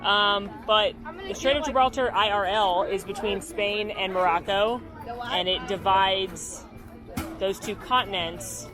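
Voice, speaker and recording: A woman's voice: mid-range voice, measured pace. Upbeat female vlog host, playful and chatty, recorded outside with background music. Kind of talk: upbeat theme-park vlog. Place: outdoors at a theme park.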